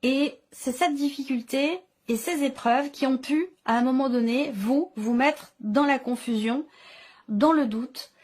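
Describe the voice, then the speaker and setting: A woman's voice: high-pitched, unhurried, darkish tone. A woman giving an improvised tarot reading to viewers, explaining as she goes and often rephrasing as she searches for words. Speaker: tarot reader and channel host.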